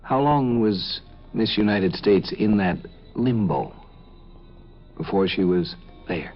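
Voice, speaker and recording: A man's voice: fairly low pitch, animated, slow pace, music in the background. A man hosting a 1950s science fiction radio show, lecturing in a musing, conversational way. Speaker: host and narrator. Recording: muffled 1950s radio recording.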